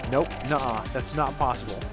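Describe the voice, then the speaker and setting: A man's voice: mid-range voice, a little fast. A man streaming a game, reading its text aloud in character with a theatrical, colloquial delivery. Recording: music playing behind the voice.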